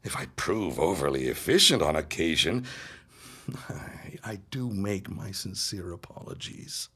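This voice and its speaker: A man's voice: deep voice, very animated, a little slow, sharp tone, breathy edge, heavily acted. An actor playing an arrogant, scheming 1910s businessman with haughty, formal diction in an audio drama. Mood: placating. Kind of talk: period audio drama acting.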